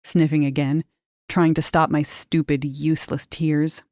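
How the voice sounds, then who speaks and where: A woman reading a short story aloud in measured, expressive literary narration. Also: low voice, no room sound, studio quality.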